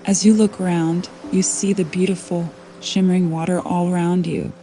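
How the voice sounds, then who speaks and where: Soothing, very mellow female meditation guide. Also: on the low side, slight background noise. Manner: soothing, slow, unhurried with long pauses. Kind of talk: guided sleep meditation, scripted narration. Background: soft calming background music.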